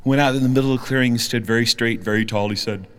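A man's voice: mid-range voice, steady tone, fast. A man telling a musical story to a live studio audience, joking and getting them to sing along. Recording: loud music behind voice. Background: guitar music.

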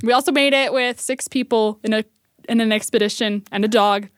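A woman's voice: a little high, steady tone, a little fast, clear, resonant tone, no room sound, studio quality. A young American woman chatting casually as a radio show panelist. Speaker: assistant producer, panelist. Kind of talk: casual chat. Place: studio.

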